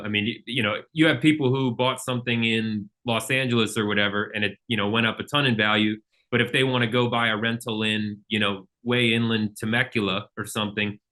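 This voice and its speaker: A man from Pennsylvania, appearing as a podcast guest, with a lowish voice that has a bright, forward edge. He speaks in an easygoing, agreeable way, often chiming in with "totally" and "sure.". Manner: affable, agreeable, quick affirmations. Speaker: podcast guest, finance professional. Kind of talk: casual podcast conversation.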